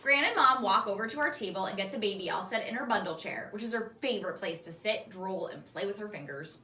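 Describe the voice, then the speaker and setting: Animated woman reading aloud, roomy echo. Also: mid-range voice, quick pace. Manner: animated, acting out dialogue. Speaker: teacher reading a children's novel aloud. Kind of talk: expressive storybook read-aloud. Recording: roomy echo, distant mic.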